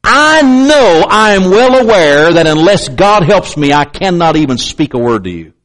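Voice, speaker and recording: A man's voice: very animated, moderate pace, fairly bright tone. A man preaching a sermon with emphatic, repetitive pulpit delivery and pointed asides to the congregation. He has a slight stutter and sometimes catches on a word. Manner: slight stutter, clamps up on words; acts out doubters in a raised pitch.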